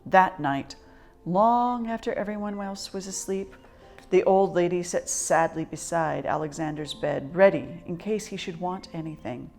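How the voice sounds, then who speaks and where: A woman storyteller reading a children's storybook expressively, in a measured, articulate way. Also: lowish voice, vocal fry, a little mellow, faint music behind.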